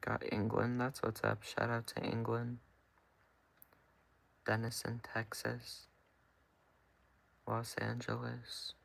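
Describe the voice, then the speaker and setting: A man's voice: mid-range voice, monotone, takes it slowly, gravelly, pauses often, a bit bright, poor audio. A young man from California with an American accent, chatting casually on a livestream and giving laid-back, offhand replies to viewers. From California, United States.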